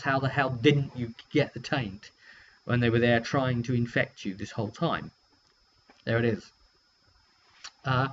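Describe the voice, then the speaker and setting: A British man casually telling a story in an animated, rambling way, drifting into asides as he goes. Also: mid-range voice. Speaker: tabletop game player recounting a session. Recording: muffled lo-fi audio with hum.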